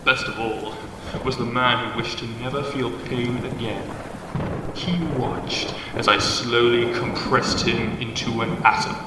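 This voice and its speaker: A malevolent devil played by a man speaks in a cavernous, echoing space with long reverb. He is slow, theatrical and cruel, savouring his menace over a noisy background. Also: mid-range voice, steady tone, close to an everyday voice. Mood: gloating menace. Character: malevolent male devil bound to a bridge, gloating and cruel. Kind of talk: fan audio drama monologue.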